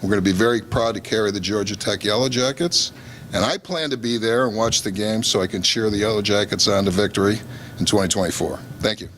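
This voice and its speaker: Deep-voiced Chicago man. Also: fast talker, noisy background. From Chicago, USA. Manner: upbeat, joking, salesy. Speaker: airline executive. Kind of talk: promotional speech at a press conference.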